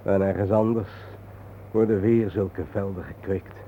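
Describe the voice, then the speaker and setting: An actor playing a brooding, philosophical man in a radio drama, with grave, poetic, deliberate delivery. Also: low voice, little pitch movement. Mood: bitter brooding. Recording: narrow phone-like old radio recording, faint hiss.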